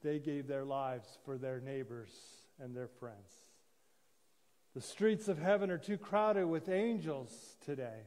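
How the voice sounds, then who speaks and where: A man in his sixties preaching a sermon as a pastor, solemn and deliberate, with pauses and repetition. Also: mid-range voice, a little mellow. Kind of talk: sermon, measured oratory. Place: church sanctuary, indoors at a lectern. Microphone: gooseneck lectern microphone. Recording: reverberant church hall sound.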